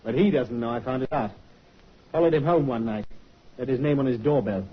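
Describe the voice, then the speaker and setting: An actor playing a defiant, sullen small-time crook in prison in a 1950s radio drama, giving curt, cocky retorts. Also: male voice, mid-range voice, a little fast, husky, natural voice. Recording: dull vintage radio broadcast.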